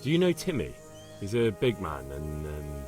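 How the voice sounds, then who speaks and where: A man's voice: a bass voice, huge pitch swings, moderate pace, full character voice. An actor playing a determined young man in a lord's service in a video game, direct and probing. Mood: businesslike inquiry. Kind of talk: video game cutscene acting. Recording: music behind.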